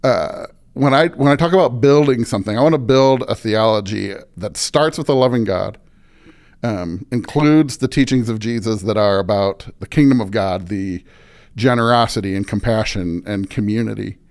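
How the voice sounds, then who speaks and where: A man with a fairly low voice talks as a pastor being interviewed. He is earnest and reflective, working through his thoughts aloud and leaning on repeated phrases for emphasis. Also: normal speed, a little mellow. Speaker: pastor and podcast guest.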